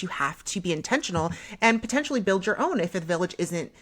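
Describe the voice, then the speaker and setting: A young American woman hosting a podcast in casual conversation, chatty and explanatory, often checking in with the listener. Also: on the low side. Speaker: podcast host.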